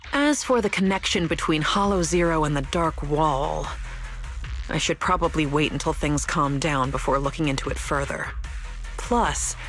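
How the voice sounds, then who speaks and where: A woman's voice: low voice, close to an everyday voice. An actress playing a playful, rebellious young woman researcher in a video game, teasing, breezy and sure of herself. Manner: teasing, breezy, self-assured. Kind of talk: scripted video game story dialogue. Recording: music behind the voice.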